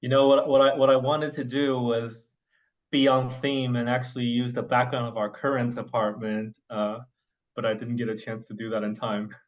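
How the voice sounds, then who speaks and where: A man in his forties from Oklahoma, a webinar panelist on a video call, speaking in a relaxed, thoughtful way with small restarts. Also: medium pitch, moderate pace. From United States (Oklahoma). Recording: muffled lo-fi call audio.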